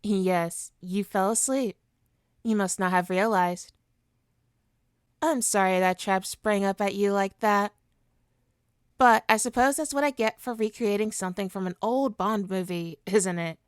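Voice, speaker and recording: Lady doing a supervillain hypnotist for asmr, really slow n soothing, close dry sound, politely possessive. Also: mid-range voice, smooth, no room sound, lightly acted. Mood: feigned innocence. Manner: soothing, deliberate, coaxing, mock-polite. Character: suave female supervillain hypnotist, coaxing and possessive. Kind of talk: ASMR roleplay, scripted hypnosis monologue.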